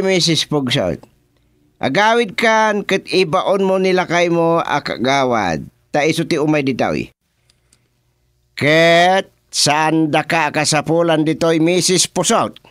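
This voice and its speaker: Stern village chairman, Ilocano Filipino accent, highish male voice, slow scolding delivery, English words mixed in, comedy radio drama. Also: no room sound, studio quality. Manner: scolding, mixes in English, repeats words for emphasis. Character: stern, dismissive barangay village chairman, comic.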